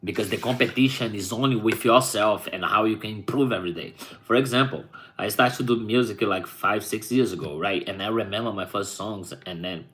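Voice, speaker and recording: A man's voice: on the low side, fairly quick, fairly bright tone, slight background noise. A man from Brazil with a Brazilian Portuguese accent, a rapper talking casually to his viewers and often adding "right?". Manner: rambling, frequent "right?" tags. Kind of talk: casual vlog chat.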